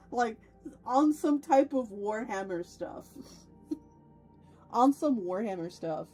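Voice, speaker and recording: A woman livestream host speaks in a fairly high, slightly theatrical voice at a slow pace. She is playful and effusive as she chats casually with her viewers. Faint music plays behind her. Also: lively intonation, mellow, breathy edge, rough recording.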